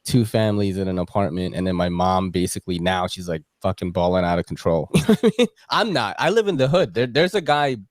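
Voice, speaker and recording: Slangy, low-voiced male podcast host. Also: expressive, fast, no room sound, clean studio sound. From from the Philippines, moved to America at seven. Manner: slangy, profane, jokey. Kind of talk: casual chat interview.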